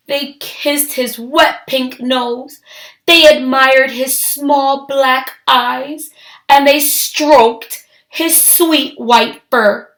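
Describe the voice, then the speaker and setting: A woman reading a children's story aloud, expressive and emphatic, with deliberate phrasing. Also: high-pitched.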